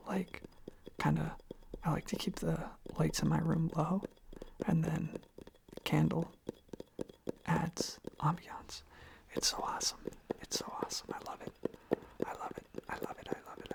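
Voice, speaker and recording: Someone rambling softly in a breathy, husky ASMR whisper close to the microphone, casually repeating little phrases. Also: medium pitch. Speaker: ASMR video creator.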